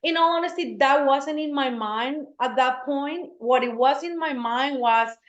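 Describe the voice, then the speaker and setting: Woman, bright and chatty. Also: high-pitched, unhurried. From Colombia. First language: Spanish. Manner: laughs easily, earnest and chatty. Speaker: guest, real estate agent. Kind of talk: casual interview talk. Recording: echoey, dull-sounding room.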